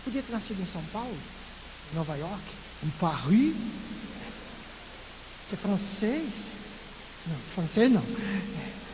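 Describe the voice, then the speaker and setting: Pastor from Recife in northeastern Brazil, high-pitched man, lively sermon with rhetorical questions, long church echo. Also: unhurried, pauses often. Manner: lively preaching full of rhetorical questions and playful asides. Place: church sanctuary. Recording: echoey church hall with loud hiss.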